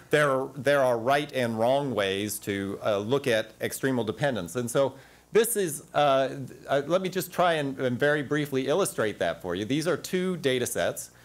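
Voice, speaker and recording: A man in his forties giving an academic panel talk in a conference room on a lapel mic, animated and full of restarts. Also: medium pitch. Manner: animated, gesturing at slides, frequent restarts.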